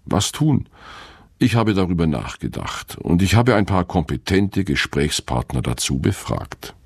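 A man's voice: low voice, sing-song, measured pace, gravelly, slightly rough, no echo. A middle-aged man, an author, reading a wry, reflective first-person radio essay from a studio. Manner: reflective, wry, self-questioning.

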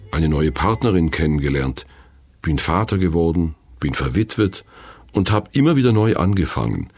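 A man's voice: low voice, a little mellow, husky, no echo, clean studio sound. A man in his fifties narrating a reflective, scripted first-person radio feature.

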